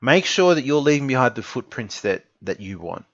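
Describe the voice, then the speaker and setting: A man's voice: mid-range voice, very animated, moderate pace, fairly bright tone, no echo, dull audio. An Australian man of twenty-nine giving an informal opinion monologue, earnest and emphatic, repeating phrases for stress. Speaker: esports content creator giving an opinion piece.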